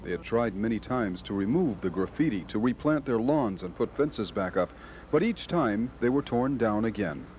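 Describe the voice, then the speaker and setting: A man's voice: on the low side, expressive, moderate pace, a little mellow, a little hiss or hum. An American man narrating a scripted television news field report.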